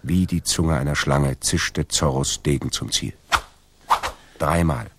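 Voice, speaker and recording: A man's voice: extremely low pitch, slow, noisy background, heavily acted. A man narrating a swashbuckling radio play as a grave, dramatic storyteller. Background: plucked guitar music.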